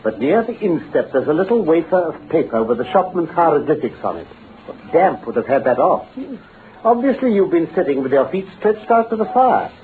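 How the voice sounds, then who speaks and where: A self-assured British gentleman detective, precise and articulate, in a vintage radio play. His fairly high voice moves in lively, varied tones. The sound is thin and phone-like, with faint hiss. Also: fairly quick. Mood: analytical. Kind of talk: old-time radio drama acting. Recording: muffled, phone-like old radio recording with hiss.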